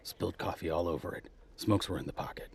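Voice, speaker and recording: An actor playing a world-weary, defiant police detective near retirement, gruff and sarcastic, in an audio drama. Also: male voice, deep voice, fast, noisy background.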